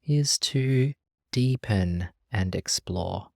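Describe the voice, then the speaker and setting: A meditation teacher leading a guided practice in a gentle, calm, measured way with long pauses. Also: medium pitch, creaky, dry recording, clean studio sound.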